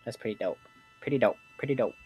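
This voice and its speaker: A woman with a very deep voice chatting casually as she streams a game. She reacts with amused exclamations. Faint game music plays behind her. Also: fast talker, muffled recording.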